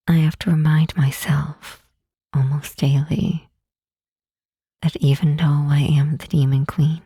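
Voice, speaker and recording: Woman as a breathy, whispering gentle demon queen. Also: low-pitched, no room sound, clean studio sound, full character voice. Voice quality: soft, breathy, husky half-whisper. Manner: slow, soothing, earnest reassurance. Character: gentle, reassuring mortal Demon Queen comforting a burnt-out hero. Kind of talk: ASMR comfort roleplay.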